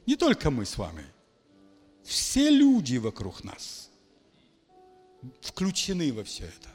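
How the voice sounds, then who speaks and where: A man preaching a charismatic sermon to a congregation, emphatic, swinging from hushed lines to shouted exclamations. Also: on the high side, huge pitch swings, slow, husky, soft background music. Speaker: pastor. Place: church hall. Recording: long hall reverb.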